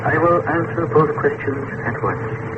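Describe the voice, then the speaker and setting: An actor playing a solemn, cryptic messenger of mysterious powers in a radio adventure, with formal, stately diction. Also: male voice, fairly high pitch, expressive, a little slow. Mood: solemn, instructing. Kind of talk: old-time radio adventure drama acting. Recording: old radio recording, narrow band, loud noise.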